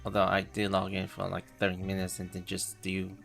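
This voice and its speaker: A woman giving casual livestream commentary on a game in a halting, hesitant way with a gravelly voice. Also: very deep voice, monotone. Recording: loud game music behind the voice.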